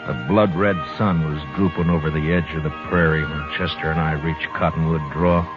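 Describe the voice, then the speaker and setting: An American actor playing a stern, weary frontier marshal in a radio western, terse and authoritative. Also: male voice, very deep voice, steady tone, moderate pace. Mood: grim narration. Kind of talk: 1950s radio western acting and first-person narration. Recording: dull vintage radio recording with loud music.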